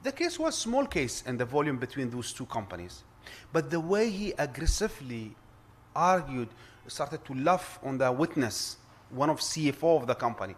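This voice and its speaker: A man's voice: medium pitch, very animated, moderate pace. Earnest Arabic-accented man speaking. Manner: earnest, repeats words and restarts. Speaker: conference speaker, arbitration lawyer. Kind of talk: formal address at a round table.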